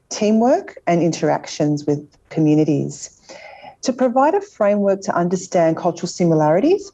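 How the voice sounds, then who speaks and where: A woman hosting a training webinar who introduces the guest in a loose, informal way, pausing often with "um". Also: fairly low pitch, mellow, low-quality recording. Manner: informal, with frequent ums. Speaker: webinar host.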